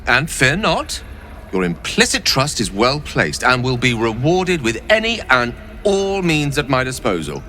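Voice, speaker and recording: Bright-voiced, courtly wizard. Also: male voice, medium pitch, huge pitch swings, unhurried, a little hiss or hum, exaggerated voice. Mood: courteous, assured. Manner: formal, florid wording. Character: eloquent, courtly male wizard companion, polite and self-assured. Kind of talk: fantasy video game dialogue.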